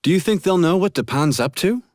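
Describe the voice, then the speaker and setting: A man's voice: sits high, expressive, brisk, darkish tone, no room sound, clean studio sound. An actor playing a wry, skeptical young male adventurer in a video game, casual and sardonic. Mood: questioning. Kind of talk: English video game dub acting.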